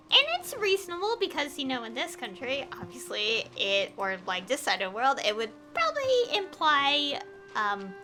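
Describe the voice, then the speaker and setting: A woman with a high, very lively voice rambles casually to her stream as she plays a game. She halts, restarts and circles back over her phrasing, her pitch swinging widely. There is clear noise behind her voice. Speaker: video game livestreamer. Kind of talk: casual rambling chat while gaming.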